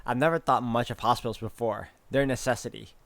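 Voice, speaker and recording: A man's voice: mid-range voice, steady tone, fast talker, creaky, a crowd in the background. A man playing through a visual novel, reading its text aloud and adding casual commentary. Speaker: game streamer doing a walkthrough. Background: game music.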